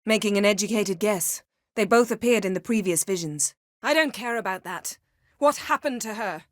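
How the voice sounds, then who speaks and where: A woman voicing a haughty, sharp-tongued sorceress in a video game, guarded yet devoted, speaking in an imperious, formal and wry manner. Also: mid-range voice, moderate pace, dry recording, clean studio sound, lightly acted. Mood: tense, urgent. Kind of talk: acted video game dialogue.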